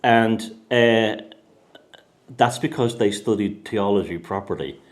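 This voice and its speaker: Irish guy with a lowish voice, chatting loose, keeps restarting his sentences. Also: unhurried. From Ireland. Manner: rambling, many restarts and repeated words. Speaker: author and host. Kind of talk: casual conversation.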